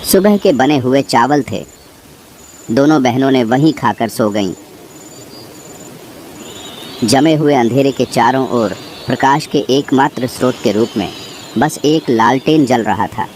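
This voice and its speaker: A man's voice: mid-range voice, a little slow, darkish tone, slight background noise. A man reading a scripted horror story as narrator.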